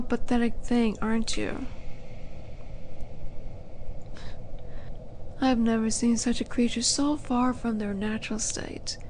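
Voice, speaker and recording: Casting: actress for female demon in audio drama, higher-pitched, haughty, flirty and taunting, unhurried delivery, noisy background. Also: a little mellow, natural voice. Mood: mocking fascination. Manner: condescending, teasing, unhurried menace with breathy asides. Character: flirty, teasing female demon, haughty would-be buyer of captives. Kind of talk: scripted audio roleplay monologue.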